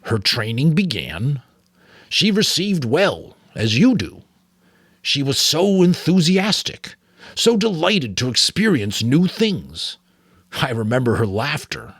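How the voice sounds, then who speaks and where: A man's voice: on the high side, sing-song, slow pace, fairly bright tone, breathy edge, no echo, studio quality, pitched above the performer's own voice, full character voice. A man voicing a sorrowful, weary old mentor in a grave, faltering, earnest way, as in a storybook. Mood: tender, wistful. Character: sorrowful, weary old male mentor confessing painful memories.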